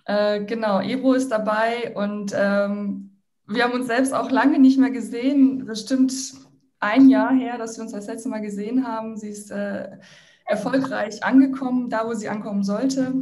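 Casting: young woman, Iran-born, friendly guest on a laptop video call, halting with fillers. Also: fairly high pitch, fairly even intonation, darkish tone. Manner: friendly, with frequent fillers and restarts. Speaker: guest speaker, social entrepreneur. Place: home room, indoors. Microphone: laptop mic on video call.

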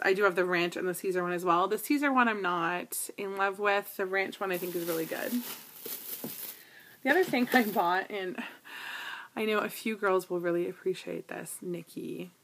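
A woman's voice: lowish voice, vocal fry, a bit bright, a little hiss or hum. A woman in her thirties from Canada, chatting casually through a grocery haul video with frequent ums and small asides, recorded on a tablet in a living room. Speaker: vlogger. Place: living room with couch, indoors. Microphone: tablet camera built-in mic.

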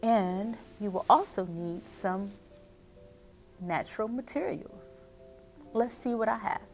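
A middle-aged woman reading and explaining to children as a story time host, expressive and speaking directly to her young listeners. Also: medium pitch, slow pace, soft background music. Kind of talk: children's read-aloud and instruction. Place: small indoor reading nook with bookshelves.

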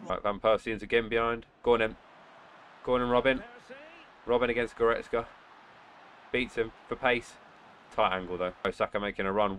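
Casting: young man, nasal from a cold, lowish voice, gaming commentary with sudden excited shouts. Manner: excitable outbursts during play, muttering between. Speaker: gaming channel host. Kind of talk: casual gameplay commentary. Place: indoor room at home.

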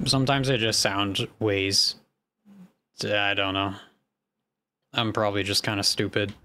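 A young man streaming a game from a small room on a headset, mostly monotone and self-deprecating, swearing and answering chat. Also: on the low side, a little slow, smooth.

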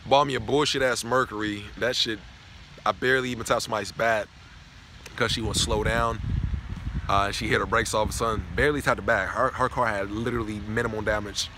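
A man's voice: on the low side, steady tone, brisk, pushed and bright. A young man from Tennessee vlogging outdoors on a college campus, rambling loosely and swearing freely into a phone held at arm's length. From Knoxville, Tennessee. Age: young adult. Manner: loose, profane, rambling talk. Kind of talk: casual vlog rant. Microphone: phone camera held at arm's length. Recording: outdoor phone audio with clear background noise.

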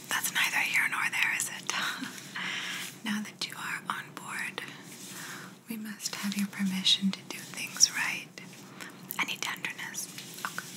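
Slow, whispering young woman, soft ASMR. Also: medium pitch, rough recording. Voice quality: breathy, soft, often whispered. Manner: gentle, unhurried, politely clinical. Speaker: ASMR roleplayer. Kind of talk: soft-spoken ASMR roleplay as an alien medical examiner.

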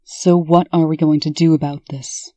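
A woman's voice: sits low, flat delivery, moderate pace, warm tone, no room sound. A woman playing a stern, authoritative princess in a comic dub, firm in manner. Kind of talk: comic dub character acting.

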